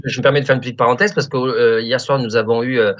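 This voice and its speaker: Male radio host, halting chatty delivery full of fillers, mid-range voice. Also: mid-range voice, steady tone, a bit bright. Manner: hesitant, many fillers and restarts. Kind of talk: radio chat.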